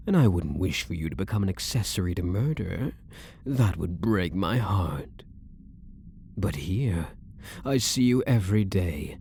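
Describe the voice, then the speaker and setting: A man acting as a low-voiced, possessive elf prisoner. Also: gravelly, dark tone, slight background noise, full character voice. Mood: possessive, menacing. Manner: teasing, drawn-out doting endearments, theatrical. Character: possessive, lovestruck male elf prisoner, dangerously sweet. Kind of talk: intimate ASMR roleplay acting.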